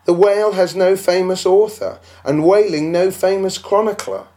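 A man's voice: high-pitched, steady tone, moderate pace, warm tone, voice pushed up, lightly acted. A man voicing a scornful, dismissive male objector in a dramatic audiobook reading. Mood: incredulous scorn.

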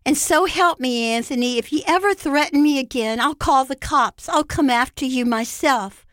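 A woman's voice: fairly high pitch, lively intonation, moderate pace, no room sound, clean studio sound, close to an everyday voice. A woman acting out a distraught, defiant drunken woman in a story, in emphatic outbursts with a raised voice. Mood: furious defiance.

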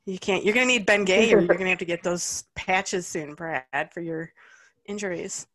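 A woman's voice: lowish voice, normal speed, fairly bright tone. A woman in her forties chatting casually, teasing the others and laughing often. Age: 40s. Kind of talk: casual chat.

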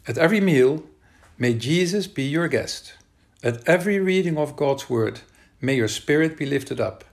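A male minister with a Dutch accent leads prayer and scripture reading. His delivery is calm and measured, in the steady cadence of liturgy. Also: fairly high pitch. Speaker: minister leading worship. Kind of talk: online worship service, prayer and scripture reading.

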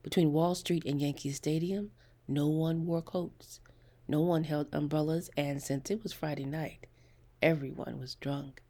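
A woman narrating scripted fiction with a husky, breathy voice and measured, dramatic storytelling delivery. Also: deep voice, fairly even intonation, dark tone. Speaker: narrator reading a short story.

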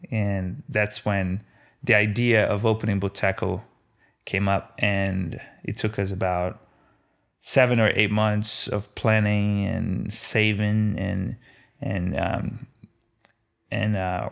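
A man from Brazil with a faint Brazilian accent, speaking casually in an interview as a restaurant owner, haltingly and repeating words as he thinks. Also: fairly low pitch, gravelly. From Brazil, Belo Horizonte. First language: Portuguese.

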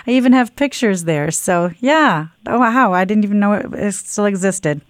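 A woman's voice: medium pitch, normal speed, no echo. Female radio co-host, dry sound. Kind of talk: radio interview.

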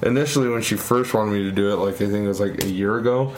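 A man's voice: fairly low pitch, a little hiss or hum. A young man in his early twenties, co-hosting a podcast in casual chat, rambling with many restarts and laughs. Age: young adult. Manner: rambling, halting, frequent laughs. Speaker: podcast co-host.

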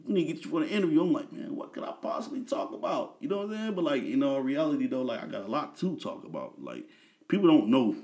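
A man's voice: mid-range voice, dark tone. A man in his thirties, a guest in a casual interview, talking in a laid-back, slang-heavy way with lots of fillers.

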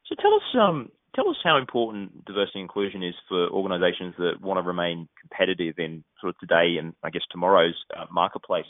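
A man with a low-pitched voice hosts a professional interview. He phrases his questions politely and a little haltingly. The recording is dry and somewhat dull. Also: very animated, no room sound. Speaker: interviewer, host.

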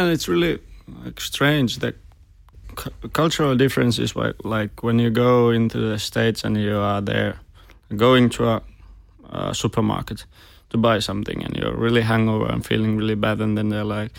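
A man hosting a casual podcast interview, chatty and a little halting. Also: medium pitch, creaky, no echo, studio quality. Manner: chatty, slightly halting, repeats words. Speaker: podcast host.

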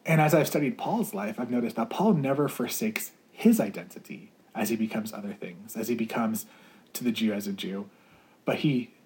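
A man's voice: medium pitch, a little mellow. Earnest man telling his story. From United States. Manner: earnest, searching, many fillers and restarts. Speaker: campus ministry leader. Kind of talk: reflective interview answers.

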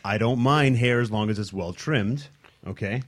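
A man's voice: on the low side, moderate pace. A man on a radio panel, hesitant and plainspoken in casual chat.

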